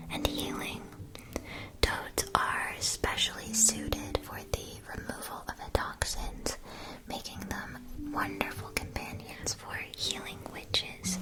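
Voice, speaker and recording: A woman playing a gentle, attentive witch shopkeeper in a scripted ASMR roleplay, whispering soft, measured, soothing explanations. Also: lightly acted. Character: gentle, attentive witch school supply shopkeeper advising a student.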